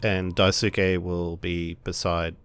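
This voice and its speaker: A man with a fairly low voice and a very slow pace plays a tabletop roleplaying game. He narrates his characters' actions casually and hesitantly, searching for words. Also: flat delivery, a bit bright, clear, resonant tone. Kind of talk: casual third-person narration of own characters.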